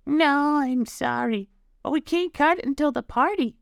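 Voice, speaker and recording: Gentle young storybook mother: motherly, very high, lively melodic delivery, dry sound. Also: a little slow, creaky, no room sound. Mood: kind, explaining. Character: kindly gentle young mother of little monster.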